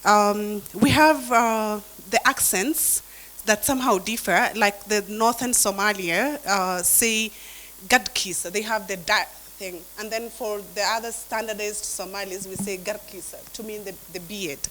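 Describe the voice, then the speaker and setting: Woman translator on a video call, soft and hesitant, plenty of fillers. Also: mid-range voice, fairly even intonation, measured pace, poor audio. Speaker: workshop participant, translator. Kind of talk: casual discussion on a video call.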